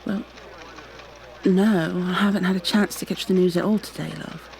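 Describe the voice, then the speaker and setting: A woman's voice: fairly low pitch, fairly even intonation, moderate pace, creaky, a little mellow, slight background noise. A woman playing a proud, exasperated goo girl special agent with prim, formal wording in an ASMR roleplay. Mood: weary, earnest. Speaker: voice actor in an audio roleplay.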